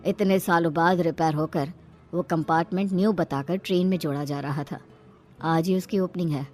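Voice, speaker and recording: A woman's voice: lowish voice, fairly even intonation, moderate pace, darkish tone, soft background music, lightly acted. A woman playing a knowing, matter-of-fact female cab driver in a horror story. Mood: ominous, informing. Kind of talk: animated horror story character acting.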